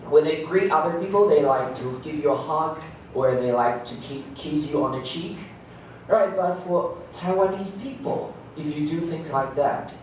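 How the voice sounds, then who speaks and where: Guy running a class chat, asks lots of questions, laughs a bunch, mic far off in a boomy room. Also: normal speed. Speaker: class discussion leader. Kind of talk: classroom discussion. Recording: very echoey room, mic far off, faint noise.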